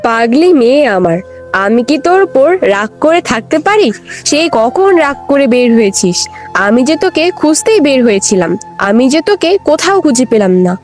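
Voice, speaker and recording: A woman's voice: high-pitched, moderate pace, soft background music. A woman playing a doting but exasperated village mother in a children's cartoon, chiding as she talks. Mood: tender. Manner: animated, chiding. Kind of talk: Bengali children's cartoon voice acting.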